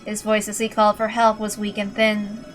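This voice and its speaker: A woman's voice: mid-range voice, monotone, moderate pace. Woman narrating over faint music. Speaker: narrator and reader. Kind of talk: dramatic narration of a visual novel. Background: faint background music.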